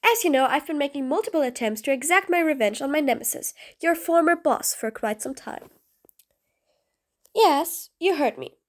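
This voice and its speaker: A high-voiced, sly supervillain acted out by a woman for an audio roleplay. The delivery is theatrical and mocking, with grand, formal phrasing. The villain comes across as impatient and scheming, with a grudging streak of sympathy. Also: animated, moderate pace. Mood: commanding, persuasive. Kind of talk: scripted audio roleplay monologue.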